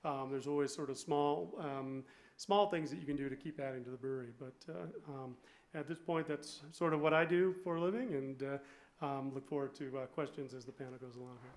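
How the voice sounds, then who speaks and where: A man giving an informal, halting presentation as a brewer on a panel. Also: mid-range voice, steady tone. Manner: hesitant, halting delivery.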